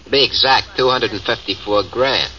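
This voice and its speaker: A man's voice: mid-range voice, normal speed, bright, forceful tone, lo-fi sound. A man acting a boastful, scheming small-time gangster, brash and smug, in 1940s radio drama style. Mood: swaggering.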